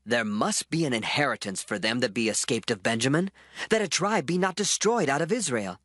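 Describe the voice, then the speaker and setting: A man's voice: mid-range voice, animated, fairly quick, fairly bright tone, no echo, clean studio sound. An actor playing a solemn, beseeching Israelite man of ancient times in a declamatory scripture drama. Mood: grave.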